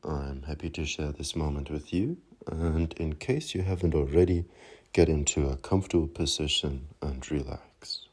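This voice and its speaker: A man leading a guided meditation in a soft, calming, unhurried manner. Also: a bass voice, slightly rough.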